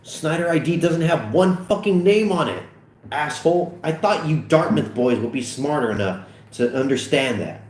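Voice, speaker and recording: A pushy, foul-mouthed teenage schemer, voiced by a man in a comedy script reading. He is brash and very animated in pitch, with audible room echo. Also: normal speed, close to an everyday voice. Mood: scornful. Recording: echoey room.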